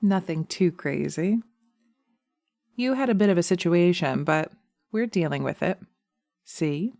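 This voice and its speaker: A woman playing a teasing, sweetly sinister witch in a hypnosis roleplay, coaxing slowly and soothingly into a close binaural mic, often dropping to a whisper. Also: on the low side, expressive, creaky, darkish tone, no echo. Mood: gloating, teasing. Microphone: close binaural microphone.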